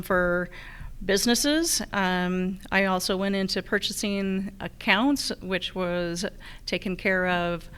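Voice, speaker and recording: A woman's voice: mid-range voice, fairly bright tone, clear, resonant tone. A middle-aged American woman giving a candidate's introduction speech at a public forum. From rural Utah, United States. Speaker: political candidate.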